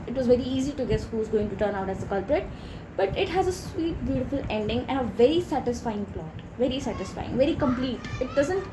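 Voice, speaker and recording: Female book vlogger, fairly high voice, gushing and informal, clear noise behind her. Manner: enthusiastic, gushing. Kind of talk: casual chat to viewers.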